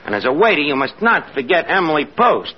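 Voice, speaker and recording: An actor playing a boastful, slangy tavern manager with mock-refined wisecracks in a 1940s radio comedy. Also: male voice, fairly high pitch, very animated, brisk, lo-fi sound. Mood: patronizing. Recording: noisy vintage radio recording, loud studio audience.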